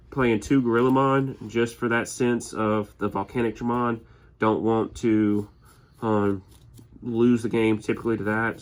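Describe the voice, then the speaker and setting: A low-pitched man with a sniffly head cold, talking in an even, flat tone. He explains his deck in an easygoing way, with frequent "uh"s and restarts, sounding relaxed and unhurried. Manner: easygoing, many fillers and restarts. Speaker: tournament winner presenting his deck. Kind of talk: casual deck walkthrough.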